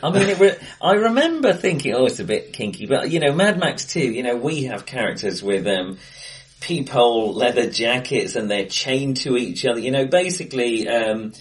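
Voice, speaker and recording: A man in a casual film discussion, talking in a hesitant, rambling way with frequent restarts, recorded in a bright-sounding room. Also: medium pitch, animated, normal speed, distant mic. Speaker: co-host of a film discussion podcast. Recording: bright echoey room, off-mic.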